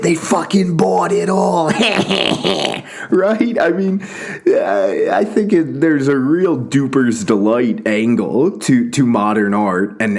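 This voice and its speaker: A man hosting a casual commentary monologue, rambling and self-interrupting, often breaking into laughter. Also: mid-range voice. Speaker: host.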